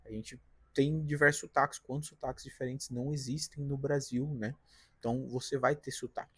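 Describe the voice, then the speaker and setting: A Brazilian man with an interior-São Paulo accent talks straight to his audience in a relaxed, direct vlog, often ending his sentences with little check-in tags. His mid-pitched voice has a slightly husky edge, and the sound is close and dry, with little room around it. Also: a little fast, no echo. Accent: Paulista, from the interior of São Paulo. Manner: conversational, direct, frequent "né?" and "tá?" tags. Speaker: career vlogger, designer. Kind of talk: casual advice vlog to camera. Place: apartment balcony.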